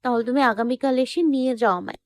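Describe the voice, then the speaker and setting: A woman's voice: on the high side, animated, normal speed, dry recording, close to an everyday voice. A woman playing a lovesick, clingy young woman with a pleading, childlike insistence in an audio love story.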